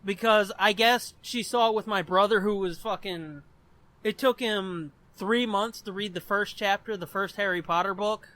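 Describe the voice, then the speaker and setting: A man's voice: high-pitched, moderate pace, bright, forceful tone, no room sound, poor audio. A man in his twenties telling a story on a podcast in a rambling, casual way, swearing often. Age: 20s. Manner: profane and rambling. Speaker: podcast co-host. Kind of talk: casual chat.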